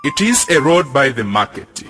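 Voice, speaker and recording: A man's voice: medium pitch, very animated, normal speed, a bit bright. A young man reading scripted narration for a stage drama. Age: young adult. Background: music.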